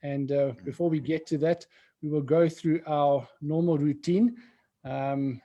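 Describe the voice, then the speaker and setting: A man's voice: fairly high pitch, fairly even intonation, a little slow, dark tone. A man co-hosting an immigration livestream in a casual, rambling way, full of fillers and restarts. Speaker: co-host of an immigration webinar.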